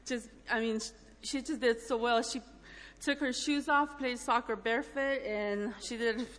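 A woman from El Salvador giving an informal church testimony in a halting, gently earnest, emotional way. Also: a little high, steady tone. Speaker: church mission trip member giving a testimony. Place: large indoor church hall, speaking up front. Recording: echoey hall with long reverb.

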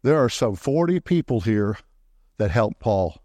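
A man preaching a sermon into a microphone in a church, animated, often swinging his voice up high to stress a point. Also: a little slow, no room sound.

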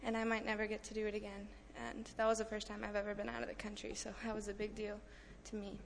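Subdued, monotone woman in an echoey hall. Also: medium pitch, quick pace, gravelly. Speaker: church mission trip member giving a testimony. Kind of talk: halting personal thanks at church. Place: large indoor church hall. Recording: echoey hall, distant, faint noise.

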